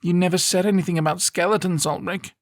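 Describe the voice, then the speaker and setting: A bold young woman, quick to scold and impatient to get on with things, voiced by a man reading the story. She sounds much higher than her narrator, loud and forceful. The recording is dry and close. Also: steady tone, no room sound. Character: young adult female sister, blunt and bold.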